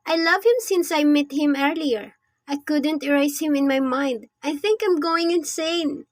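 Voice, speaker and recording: A woman's voice: high voice, a little slow, dry recording, studio quality. A woman playing a lovestruck young princess who speaks eagerly to her father.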